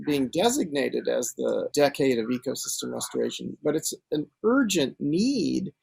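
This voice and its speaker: A man's voice: mid-range voice, sing-song, normal speed, vocal fry, a little mellow, slightly rough, dry recording, poor audio. A man talking earnestly and conversationally as an interviewer.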